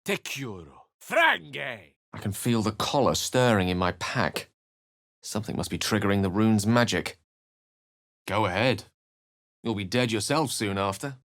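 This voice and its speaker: Deep eloquent game wizard, courteous n wry, theatrical, super dry close sound. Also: male voice, expressive, measured pace, fairly bright tone, no room sound. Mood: incanting spells, then measured. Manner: articulate, formal wording, theatrical. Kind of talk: video game voice lines and narration.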